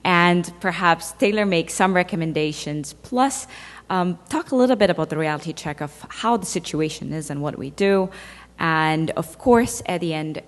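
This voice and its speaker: A woman from Egypt, a dentist giving a conference talk, speaking conversationally with fillers and playful asides. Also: fairly low pitch. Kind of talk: conference presentation. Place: large conference hall. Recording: reverberant lecture hall.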